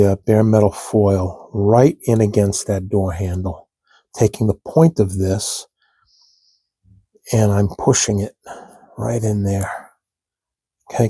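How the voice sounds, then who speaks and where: A man with a froggy, allergy-rough throat, slowly talking viewers through a model-building step in a casual, halting way. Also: lowish voice, mellow, dry recording. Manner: slow, halting, talks to viewers while working. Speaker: scale model hobbyist demonstrating. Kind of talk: casual hands-on tutorial.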